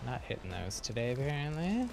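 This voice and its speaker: A man's voice: mid-range voice, very animated, brisk, creaky. A man giving casual live commentary as a game streamer, mild-mannered with clean exclamations. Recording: game music behind the voice. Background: loud game music.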